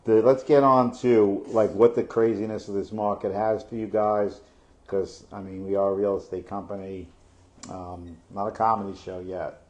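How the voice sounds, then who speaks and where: A man's voice: lowish voice, flat delivery, normal speed, darkish tone. A middle-aged man co-hosting a casual real estate stats chat, rambling with many fillers and restarts and laughing often. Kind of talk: casual live stat chat.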